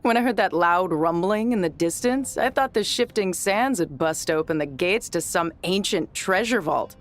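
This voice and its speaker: A woman's voice: fairly low pitch, animated, normal speed, close to an everyday voice. A woman playing a confident, tough young mercenary in a video game, casual and a little cocky. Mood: teasing. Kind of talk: video game character dialogue. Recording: faint game music behind the voice.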